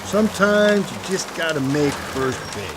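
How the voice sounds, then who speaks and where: A man's voice: lively intonation, normal speed, close to an everyday voice. Cynical American cop played by a man, noisy backdrop. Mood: needling, advising. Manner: wry, world-weary, needling. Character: cynical, folksy veteran male detective partner. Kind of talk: video game crime drama acting. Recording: noisy, rain and car rumble behind.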